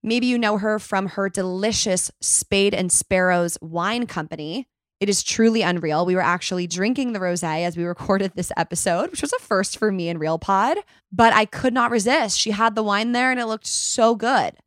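A young woman hosting a podcast, bubbly and upbeat, her voice a little raspy, talking casually and reading her intro. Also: mid-range voice, moderate pace, dry recording, studio quality. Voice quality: slightly raspy today. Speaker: podcast host. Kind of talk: casual chat and scripted intro.

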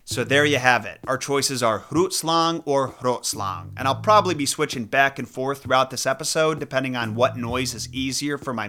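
A man's voice: mid-range voice, bright, forceful tone. A young adult man with a Midwestern American accent hosting a podcast, narrating a scripted folklore story with wry, jokey asides. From Midwest United States. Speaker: podcast host.

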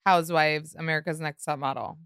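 A woman hosting a casual interview, teasing her guest and dropping quick interjections. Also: deep voice, steady tone, fairly bright tone, clean tone, dry recording, clean studio sound. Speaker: host. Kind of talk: casual chat.

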